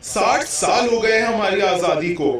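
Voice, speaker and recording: Man playing a high-pitched bossy lazy husband, echoey. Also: takes it slowly, a bit bright, soft background music, heavily acted. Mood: dismissive. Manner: theatrical, bossy. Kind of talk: puppet show dialogue. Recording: very echoey hall, distant mic.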